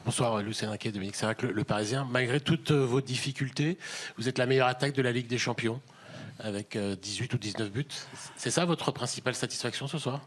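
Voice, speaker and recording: A man, a newspaper sports reporter, asks a quick, brief question at a press conference. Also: medium pitch.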